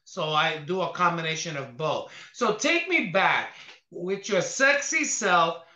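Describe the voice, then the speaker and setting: A man's voice: high-pitched, expressive, measured pace, sharp tone. A man hosting an interview in casual chat, rambling and tripping over his words. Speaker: podcast host. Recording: roomy, dull lo-fi sound.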